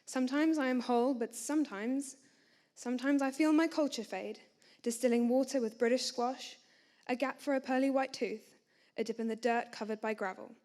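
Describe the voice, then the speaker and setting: A woman's voice: high voice, normal speed, a little mellow. Woman from South Africa reading a poem. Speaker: student poet. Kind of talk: reciting her own poem.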